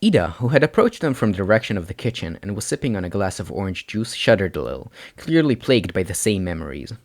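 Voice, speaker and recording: A man's voice: fairly low pitch, sing-song, fast. A man reading a story aloud as scripted narration, as an amateur audiobook reader.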